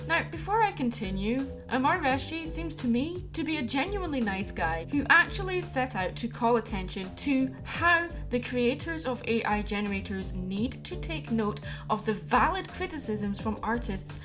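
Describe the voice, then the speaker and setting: A woman's voice: high-pitched, sing-song, moderate pace, few pauses. Earnest woman commentator over prominent music. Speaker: video commentator. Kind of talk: opinion commentary to viewers. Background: background music.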